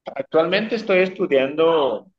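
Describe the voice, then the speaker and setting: A man's voice: on the high side, expressive, unhurried. A catechist greets everyone formally and wishes them blessings. His call audio is dull and lo-fi. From Guatemala. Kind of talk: formal church-style greeting.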